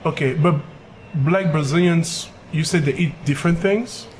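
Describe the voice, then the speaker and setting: A man's voice: fairly high pitch, normal speed, slight background noise. A man hosting an interview, asking questions and backing the talk with short affirmations. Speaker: podcast host.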